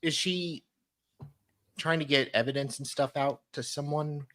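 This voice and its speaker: A man's voice: fairly high pitch, a little slow, sharp tone. A man on a discussion panel, talking casually and sometimes reading messages aloud. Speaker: panelist on a livestream.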